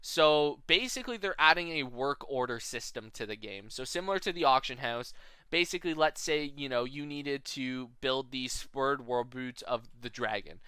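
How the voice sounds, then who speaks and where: A man's voice: medium pitch, expressive, normal speed, bright, forceful tone, smooth, no room sound. A man giving a casual, informal explainer as commentary for a gaming video. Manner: explanatory, informal, often checking with "right?". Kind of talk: casual explainer commentary over game announcement images.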